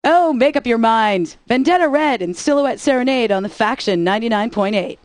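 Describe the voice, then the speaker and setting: A woman's voice: on the high side, lively intonation, moderate pace, dry recording, natural voice. An actress playing a wry, upbeat alternative-rock radio DJ woman in a video game, introducing songs with sarcastic patter. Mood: dry, upbeat.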